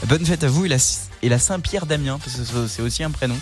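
A man's voice: mid-range voice, fast talker. Jokey man hosting morning radio. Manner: jokey, laughs often, many fillers. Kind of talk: lively morning radio banter. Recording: music bed under voice.

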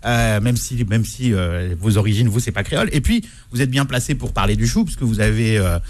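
A man's voice: fairly low pitch, animated, fairly quick, a little mellow, dry recording. A man co-hosting a food radio show as critic, repeating words and stammering while he thinks.

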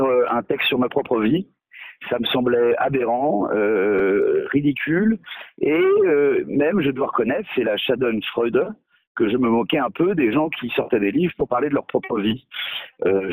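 A man's voice: medium pitch, no room sound. Hesitant self-mocking man, dry studio. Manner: hesitant, many fillers and restarts, self-mocking. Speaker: novelist guest. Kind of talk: radio interview.

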